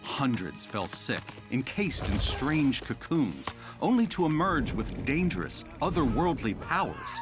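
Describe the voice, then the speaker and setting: Male news reporter, dramatic delivery, lively intonation, music underneath, game cutscene. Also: medium pitch, measured pace, few pauses. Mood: grave, dramatic.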